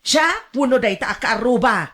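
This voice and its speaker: A woman's voice: fairly high pitch, animated, normal speed, bright, forceful tone. A woman playing an older, quarrelsome mother in a radio drama, aggrieved and defensive, blaming everyone around her. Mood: resentful grumbling. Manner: querulous, defensive. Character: aggrieved, quarrelsome gambling mother who blames her relatives.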